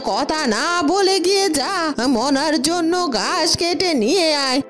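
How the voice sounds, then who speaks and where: A woman's voice: extremely high pitch, expressive, measured pace, fairly bright tone, full character voice. A woman voicing a fretful, caring old village mother in a cartoon, scolding and coaxing her son. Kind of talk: cartoon voice acting. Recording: music behind the voice.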